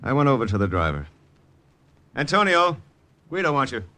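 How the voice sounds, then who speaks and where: A man's voice: medium pitch, very animated, normal speed, pushed and bright. An actor playing a terse, hard-boiled wartime agent who narrates his own story in an old-time radio drama. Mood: brisk. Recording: old radio recording with music behind.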